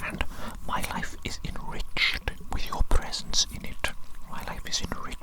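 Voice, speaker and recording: A woman playing a doting, eccentric evil doctor in a whispered ASMR roleplay, breathy, fussy and affectionate, repeating phrases slowly. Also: close to an everyday voice, rough recording. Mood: tender, grateful.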